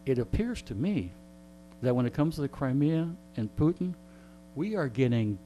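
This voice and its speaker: A man's voice: mid-range voice, sing-song, normal speed, background noise. A man telling stories in casual comedic banter, joking and mock-indignant, acting out bits of dialogue. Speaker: radio host being interviewed.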